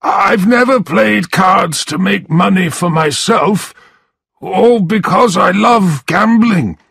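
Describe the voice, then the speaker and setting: A man voicing a desperate old grandfather in a storybook, wailing and pleading in a strained, husky, gravelly voice. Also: high-pitched, takes it slowly, a bit bright, no echo, clean studio sound, voice pushed up, heavily acted. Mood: defensive. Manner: wailing, imploring.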